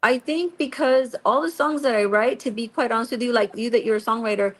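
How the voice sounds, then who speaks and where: Woman guest, fairly high voice, rambling storyteller who plays out dialogue. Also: brisk. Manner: rambling with many restarts and quoted dialogue. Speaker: guest singer-songwriter. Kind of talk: casual radio interview.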